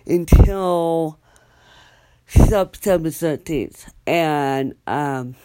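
A young adult podcast host giving a casual solo update, rambling and halting with many fillers and restarts. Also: medium pitch, dark tone, clean tone, no room sound.

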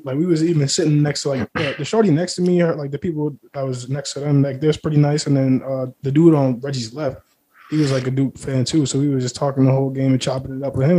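A man's voice: mid-range voice, warm tone. A man co-hosting a podcast in casual sports chat, with a slangy, profane way of talking. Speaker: podcast co-host. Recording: noisy recording with clicks.

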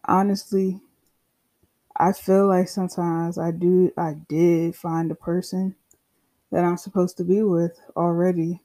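A young podcast host in their twenties, talking alone in a loose, unscripted way. The delivery is slow and unhurried, the tone mellow and dark, candid and profane with frequent asides. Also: medium pitch, level tone. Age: 20s. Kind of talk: casual solo monologue.

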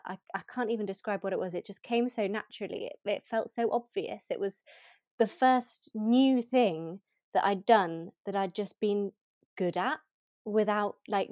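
A woman co-hosting a podcast, telling a personal story with enthusiasm and halting restarts. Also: medium pitch, a little mellow, dry recording, clean studio sound. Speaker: podcast co-host.

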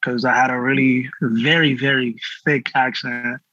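A young man from Nigeria tells his story in a relaxed, easygoing way during a casual chat. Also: mid-range voice, unhurried, no echo, muffled recording. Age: young adult. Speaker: youth artist guest.

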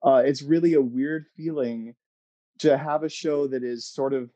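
A middle-aged American man chatting casually as an interview guest, telling anecdotes in a halting, expressive, self-amused way. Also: medium pitch, dry recording. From Oregon, United States. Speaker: interview guest, playwright and screenwriter.

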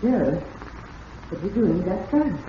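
Genteel wife, noisy old radio. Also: female voice, medium pitch, sing-song, unhurried. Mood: uneasy. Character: affectionate, trusting wife growing frightened. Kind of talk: old-time radio drama acting. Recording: muffled, noisy vintage radio recording.

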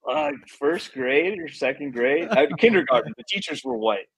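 A man's voice: fairly high pitch, expressive, normal speed, breathy edge, dry recording, low-quality recording. A man in his thirties from Chicago whose first language is Spanish, a former police officer telling loose, profane stories with laughs on a podcast. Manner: laid-back, laughing, profane storyteller. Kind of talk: casual storytelling chat.

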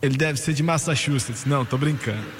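A man preaching a sermon in animated fashion, his voice rising to high exclamations. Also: brisk, long reverb tail, a little hiss or hum.

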